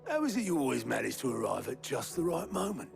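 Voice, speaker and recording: A man's voice: mid-range voice, sing-song, fast, vocal fry, over music. A man playing a blunt, wry comrade with dry humour in a video game drama. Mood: wry, encouraging.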